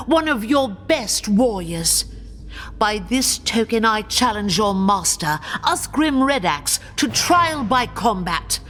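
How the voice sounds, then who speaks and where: A woman's voice: mid-range voice, fairly bright tone. A woman playing a bold, defiant young elf warrior in a video game, proud and declamatory. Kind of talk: dramatic video game acting.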